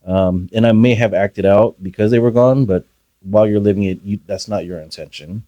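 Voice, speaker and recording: A man guest on a podcast, telling his childhood story in a soft, hesitant way with many pauses. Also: lowish voice, fairly even intonation, no echo, muffled recording. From Philippines. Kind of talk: reflective personal storytelling.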